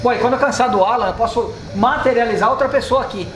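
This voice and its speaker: High-voiced Brazilian man in echoey garage. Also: fast. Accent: Brazilian Portuguese. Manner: explanatory, gestures at the car while talking. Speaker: mechanic and channel host. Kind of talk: casual workshop vlog talk. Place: indoor car repair workshop. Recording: echoey workshop with music underneath.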